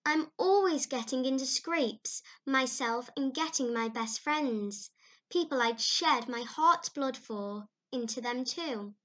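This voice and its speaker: A woman's voice: high-pitched, takes it slowly, a bit bright, voice pushed up. A woman reading aloud, voicing an imaginative, dramatic orphan girl who gushes breathlessly. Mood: pleading. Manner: breathless, gushing, heightened.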